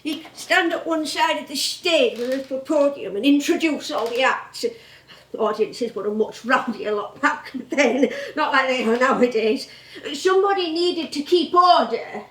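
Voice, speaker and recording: An actress playing a feisty, nostalgic veteran music hall comedienne in a stage play, with a theatrical, performative storytelling manner. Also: female voice, high-pitched, a little slow, distant mic. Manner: animated, performative. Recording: roomy live theatre recording.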